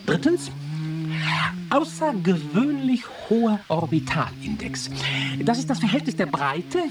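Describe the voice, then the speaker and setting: An actress playing an exacting, opinionated skull examiner who enumerates findings clinically in a radio drama. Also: female voice, medium pitch, very animated, measured pace, recorded from a distance, over music.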